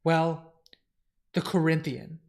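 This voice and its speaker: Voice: medium pitch, steady tone, takes it slowly, a little mellow. A comic reader voicing a dutiful male librarian who serves a lord, reporting in a formal, respectful way. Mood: grave, reporting.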